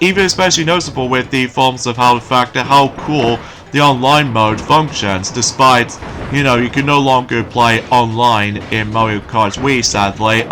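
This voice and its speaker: A man giving rambling, casual let's play commentary, his voice slightly croaky from waking early. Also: mid-range voice, bright, forceful tone. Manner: rambling, repeats filler phrases.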